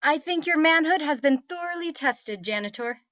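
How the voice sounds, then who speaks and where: A woman's voice: high voice, lively intonation, moderate pace, fairly bright tone, no room sound. A woman voicing a proud, defiant young princess with imperious, formal phrasing in a script table read, on a muffled microphone. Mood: haughty.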